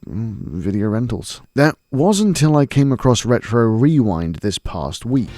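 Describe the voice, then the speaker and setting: A man's voice: medium pitch, big pitch swings, unhurried, darkish tone. A young Welsh man from South Wales reading a scripted game review, wry and a little profane, with a nostalgic storytelling manner. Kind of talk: scripted voice-over narration.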